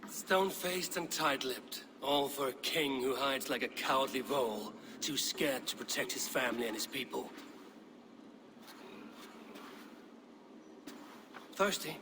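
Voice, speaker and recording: An actor playing a stern young Viking warrior in a game cutscene, terse and blunt. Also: male voice, mid-range voice, normal speed, hardly any pauses, noisy background, close to an everyday voice. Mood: taunting. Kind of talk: dramatic game cutscene acting.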